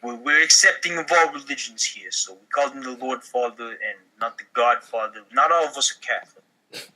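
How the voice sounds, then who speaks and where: Sharp, bright-voiced man at a table read. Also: medium pitch, moderate pace, rough recording. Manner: wisecracking, informal. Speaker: podcast cast member reading a script. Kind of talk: comedy table read with improvised asides.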